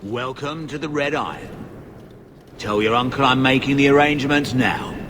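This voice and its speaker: An actor playing a gruff, vengeful male mercenary boss in a video game, brusque and commanding. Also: mid-range voice, normal speed, crowd noise, lightly acted. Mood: grimly pleased. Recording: loud game music behind.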